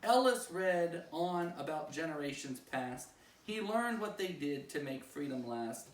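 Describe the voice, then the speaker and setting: Man, higher-pitched voice, very lively intonation, singsong rhyming storybook read-aloud, distant mic. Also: measured pace, a little hiss or hum. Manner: singsong, expressive storybook phrasing. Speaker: school principal reading a story. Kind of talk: read-aloud of a rhyming children's picture book. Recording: roomy echo, distant microphone.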